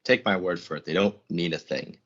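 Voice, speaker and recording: A young man playing an evasive, smooth-talking agency boss in a 1940s-style suspense radio play. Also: low voice, brisk, fairly bright tone, highs cut off. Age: young adult.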